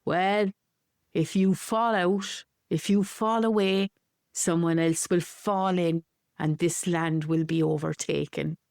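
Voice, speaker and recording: A man's voice: sits high, steady tone, slow pace, vocal fry, darkish tone, clean tone, no room sound, studio quality, heavily acted. Woman doing a cold officious clipboard man. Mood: threatening.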